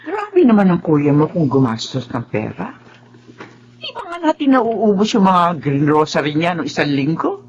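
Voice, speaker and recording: A fretful middle-aged Filipino mother in a radio drama, careful with money and prone to exclaiming. Her pitch moves widely, and the sound is slightly dull. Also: sing-song, moderate pace. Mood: worried, scolding. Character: thrifty, worried middle-aged mother fretting over her children. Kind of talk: Tagalog radio drama acting.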